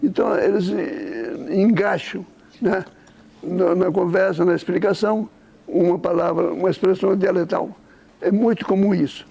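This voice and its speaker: High-pitched, gravelly man. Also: moderate pace, dark tone. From Italy. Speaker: interview guest. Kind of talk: conversational explanation of culture and history.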